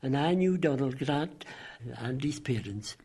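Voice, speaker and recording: An elderly man from the Scottish Highlands, close to ninety, reminisces in conversation. His voice is husky and medium in pitch, with a Highland accent, and he often repeats short phrases for emphasis. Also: expressive, measured pace, warm tone. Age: late 80s. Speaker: local resident interviewee. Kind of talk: recollection in conversation.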